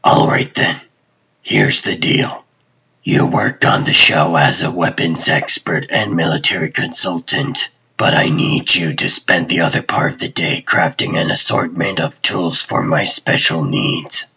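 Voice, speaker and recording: Guarded, commanding superhero boss for a comic dub, male actor: low, husky, slow and terse. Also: sharp tone, heavily acted. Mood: businesslike. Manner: terse, deliberate.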